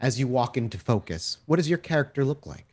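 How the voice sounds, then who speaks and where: A man's voice: medium pitch, lively intonation, a little fast, darkish tone. A man running a tabletop roleplaying game, narrating in wordy, cinematic detail and putting questions to players. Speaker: game master and storyteller.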